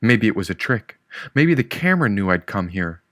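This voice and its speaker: A man's voice: fairly low pitch, very animated, normal speed, fairly bright tone, dry recording, studio quality. A man reading a first-person novel aloud as a scripted audiobook narrator. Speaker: audiobook narrator, author reading own book.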